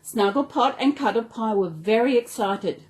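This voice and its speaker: High-voiced Australian woman reading a storybook. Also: moderate pace, rough recording. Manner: animated, stumbles over words. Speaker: storyteller, channel host. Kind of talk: storybook read-aloud for small children.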